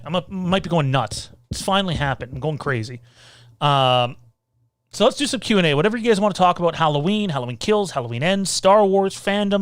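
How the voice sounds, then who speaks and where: A man hosting a casual livestream Q&A, rambling loosely, reading out viewer comments and filling pauses with lots of "uh" and "um.". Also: a little high, smooth, dry recording. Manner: rambling, reads out viewer comments, many filler sounds. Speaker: podcast host.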